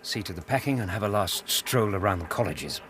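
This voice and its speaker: A man playing an amiable, dependable English gentleman, a detective's companion, in a radio drama, genial and measured. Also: lowish voice, slight background noise, lightly acted. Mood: genial, content. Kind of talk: British radio drama acting.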